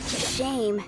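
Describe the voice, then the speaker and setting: A woman's voice: high voice, heavily acted. A woman voicing an earnest, modest young female knight-in-training in an anime-style video game. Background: music.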